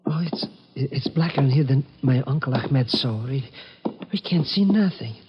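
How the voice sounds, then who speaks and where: A man's voice: medium pitch, expressive, moderate pace, natural voice. A man acting a nervous, whining comic sidekick and small-time crook in 1950s radio drama style, stammering and complaining. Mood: grumbling, sheepish. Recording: dull, noisy old radio recording, clicks.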